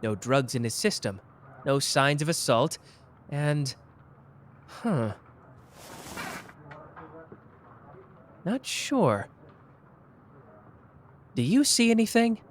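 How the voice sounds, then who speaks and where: A man voicing a sharp, playful young detective in an ASMR roleplay, teasing and quick-witted. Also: on the high side, big pitch swings, slight background noise. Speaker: voice actor.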